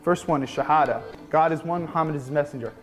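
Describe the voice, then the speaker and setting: Man, 22, from New Jersey, relaxed explanations, slightly high voice, soft music. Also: fast talker. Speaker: interviewee. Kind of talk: casual interview.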